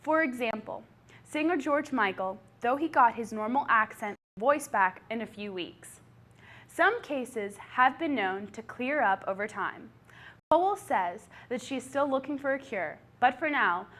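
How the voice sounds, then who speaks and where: A woman anchor presenting an odd news story in a bright, chatty way. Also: on the high side, lively intonation, moderate pace. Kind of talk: scripted news-style story.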